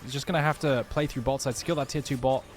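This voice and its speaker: A man's voice: mid-range voice, steady tone, fast talker. A man casting a live esports match, giving breezy play-by-play and analysis. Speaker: esports caster.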